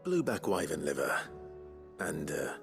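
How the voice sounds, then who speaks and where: A calm leader of outcasts in a fantasy game. His voice is very low and moves freely in pitch, with a dry, understated delivery. Music plays clearly behind him. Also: a little slow, heavily acted. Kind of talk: video game voice acting.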